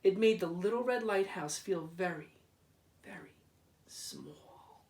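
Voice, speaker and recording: A man reading a children's storybook aloud in a deliberate, dramatic way, drawing out repeated words. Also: high voice, darkish tone. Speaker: school principal reading aloud. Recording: roomy echo, distant microphone.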